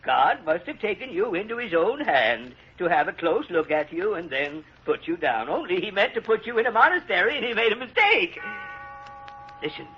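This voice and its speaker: An actor playing an excitable, deferential old French gardener in an old radio drama, elderly-sounding, flustered and garrulous. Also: male voice, high-pitched, normal speed. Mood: talkative, deferential. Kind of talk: 1940s radio drama acting. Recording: muffled, noisy old radio recording.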